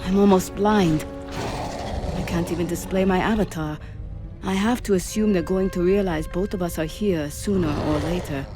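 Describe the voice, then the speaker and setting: An actor playing a damaged shipboard artificial intelligence, speaking in a measured, precise and dryly witty way in an audio drama. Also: mid-range voice, over music, lightly acted. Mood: dry, grave. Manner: measured, precise, dry wit. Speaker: ship's AI character. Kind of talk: dramatised science fiction audio story.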